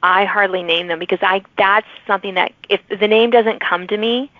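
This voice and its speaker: A woman's voice: medium pitch, steady tone, normal speed, no room sound. A woman hosting a casual interview, talking informally and thinking aloud in half-finished phrases. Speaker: podcast host and fiction author. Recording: dull, lo-fi close audio.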